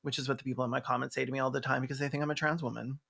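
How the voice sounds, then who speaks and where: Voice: mid-range voice, fairly even intonation, very fast, creaky, a bit bright. Commentator, middle register, campy and sarcastic, comedic film-review delivery. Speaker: video essayist, film reviewer.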